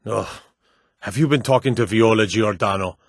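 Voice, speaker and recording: Weary fantasy soldier for a video game: husky, dry close sound, gruff and measured. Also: male voice, fairly low pitch, no room sound. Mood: exasperated. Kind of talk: video game character dialogue.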